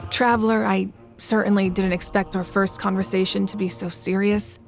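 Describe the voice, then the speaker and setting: An actress playing a patient fire goddess ruler in a video game, explaining things in a measured, gentle way. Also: female voice, medium pitch, level tone, gravelly, soft background music, natural voice. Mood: calm. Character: female fire goddess ruler, calm and protective. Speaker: Pyro Archon.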